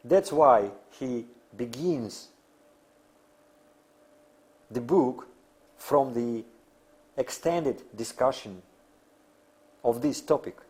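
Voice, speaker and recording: Slow, deliberate male lecturer, non-native English. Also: mid-range voice, lively intonation, a little mellow. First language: not English. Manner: halting, deliberate phrasing with emphatic pauses. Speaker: academic lecturer. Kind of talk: lecture explaining theory.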